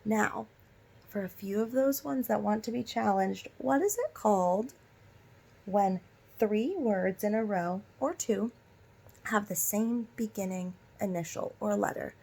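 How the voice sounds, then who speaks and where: A woman's voice: medium pitch, expressive, a little slow, gravelly, a little mellow, breathy edge. A woman teacher reading a children's story aloud and prompting listeners with instructive questions.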